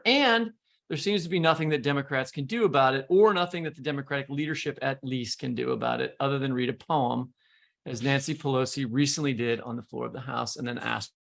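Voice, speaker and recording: A man's voice: medium pitch, gravelly, a bit bright. An American man hosting a podcast, talking casually about politics. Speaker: podcast host.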